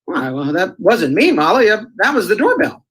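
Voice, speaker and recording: A man's voice: high voice, sing-song, fairly bright tone, husky, exaggerated voice. A man playing Fibber McGee, a blustering, wisecracking, folksy husband in an old-time radio comedy. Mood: breezy. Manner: folksy, fast-talking, exclamatory, theatrical.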